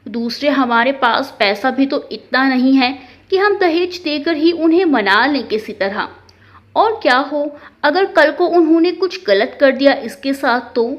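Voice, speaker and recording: A woman voicing a stern, worried father who questions and admonishes in a storybook family drama. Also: high-pitched. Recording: dull, lo-fi sound.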